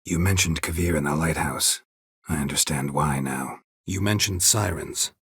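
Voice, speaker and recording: An actor playing a gruff, weary, sardonic monster hunter for hire in a video game, with terse, deadpan delivery and a low gravelly voice. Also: male voice, moderate pace, no room sound, heavily acted. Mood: matter-of-fact, wry. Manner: terse, dry, deadpan, clipped sentences. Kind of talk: video game character dialogue.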